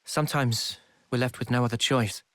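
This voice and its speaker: A man playing a gentle, weary, reflective young soldier in a game drama, subdued and measured. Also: mid-range voice, fairly even intonation, no room sound, close to an everyday voice. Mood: calm, assured. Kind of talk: dramatic game cutscene acting.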